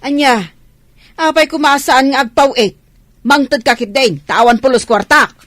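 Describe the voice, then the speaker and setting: A woman's voice: high voice, moderate pace, a bit bright, no echo. A woman acting a grasping, scolding older Filipino mother-in-law in a radio comedy drama. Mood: demanding, scolding.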